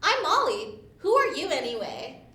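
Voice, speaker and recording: A woman's voice: high voice, wildly swooping pitch, normal speed, sharp tone, exaggerated voice. A woman playing a blunt, matter-of-fact young girl in a storybook readalong. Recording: echoey room, distant mic, faint noise.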